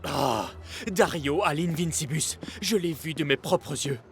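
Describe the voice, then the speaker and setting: A woman's voice: deep voice, measured pace, creaky. A woman voicing a self-assured, stubborn young hero in a children's cartoon, with an insistent, smug manner. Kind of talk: French animated children's series dub. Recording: music behind.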